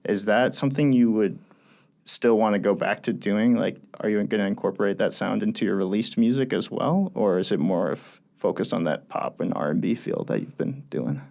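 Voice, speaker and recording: Man, very deep voice, gravelly, radio co-host, encouraging. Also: normal speed, darkish tone. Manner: affirming, encouraging listener. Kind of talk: casual radio interview.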